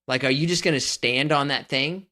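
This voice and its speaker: A man's voice: mid-range voice, normal speed, bright, forceful tone. A man co-hosting a podcast, chatting casually and giving blunt, emphatic opinions. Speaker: podcast co-host. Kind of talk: casual chat.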